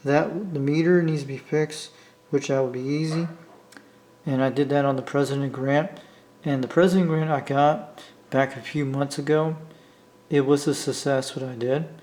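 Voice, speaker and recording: A man with an American accent talking casually off the cuff as a hobbyist video maker, rambling and halting as he repeats himself. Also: on the high side, a little mellow. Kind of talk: casual unscripted vlog talk. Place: indoor room, talking from behind the camera.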